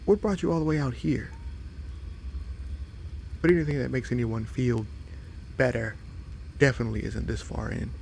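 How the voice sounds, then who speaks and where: A man's voice: mid-range voice, vocal fry, a little mellow, a little hiss or hum, lightly acted. A man playing a friendly, curious fox-boy monster in a roleplay audio drama, hesitant and apologetic as he addresses the listener. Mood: hesitant, questioning. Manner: hesitant, halting, apologetic, wide-eyed.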